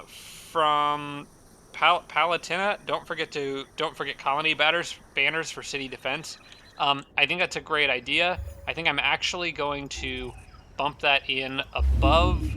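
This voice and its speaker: A man commentating his strategy game playthrough, thinking aloud with many fillers and restarts, his voice a little raspy from a dry throat. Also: medium pitch, pushed and bright, slight background noise. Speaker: strategy game let's-play host. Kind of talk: casual gameplay commentary.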